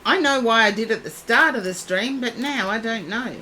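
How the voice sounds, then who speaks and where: A woman giving a psychic reading on a live stream, soothing and reassuring, her voice going husky and hoarse. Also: a little high, animated, moderate pace, sharp tone, slight background noise, poor audio. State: losing her voice.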